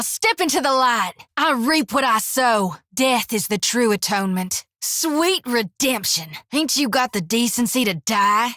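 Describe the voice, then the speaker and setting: A woman's voice: a little high, bright, forceful tone, no echo, exaggerated voice. An actress playing a cheerful, devout young female priest who talks in a folksy drawl full of old-fashioned country slang. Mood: battle. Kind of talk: video game voice lines. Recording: dry studio booth.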